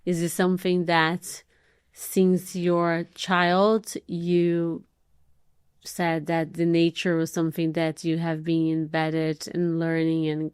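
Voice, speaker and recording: A woman hosts a podcast and interviews her guest with effusive enthusiasm. Her voice is smooth and resonant, set a little low. It is recorded dry and close. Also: monotone, slow, a little mellow, dry recording. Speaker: podcast host. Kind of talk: interview conversation.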